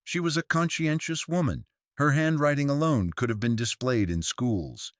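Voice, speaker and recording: A man's voice: medium pitch, normal speed, no room sound, clean studio sound, voice pushed up, close to an everyday voice. A man voicing a prim, guarded old small-town woman in a story, with precise, drily genteel phrasing. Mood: prim approval.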